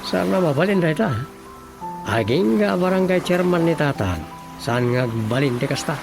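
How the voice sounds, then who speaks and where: A man's voice: fairly high pitch, lively intonation, normal speed, creaky. A man with a Filipino accent playing a village chairman's proud, confident son in a radio drama. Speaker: actor. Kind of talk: Ilocano radio drama acting. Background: music.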